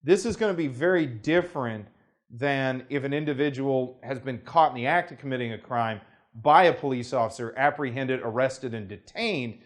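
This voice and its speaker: An American man, an attorney, explaining a legal process in a formal, measured way, with careful legal phrasing, hedges and the odd restart. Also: mid-range voice. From United States. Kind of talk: unscripted talking-head explanation.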